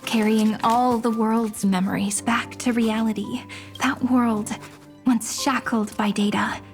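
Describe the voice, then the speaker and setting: An actress playing a gentle, wistful young woman saying farewell in a video game, speaking softly and poetically. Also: fairly high pitch, fairly even intonation, faint music behind. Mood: tender, wistful. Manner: soft, poetic, unhurried. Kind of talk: scripted video game dialogue.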